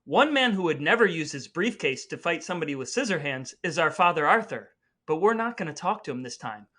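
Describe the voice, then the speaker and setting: A man's voice: a little high, very animated, brisk, sharp tone. A man co-hosting a podcast with a playful, mock-earnest delivery that mixes chat and scripted patter. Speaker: podcast co-host. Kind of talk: chatty scripted podcast narration.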